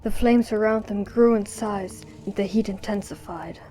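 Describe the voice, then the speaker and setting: A woman's voice: mid-range voice, fairly even intonation, normal speed. A woman narrating an audio drama in a scripted storytelling style, with music behind her.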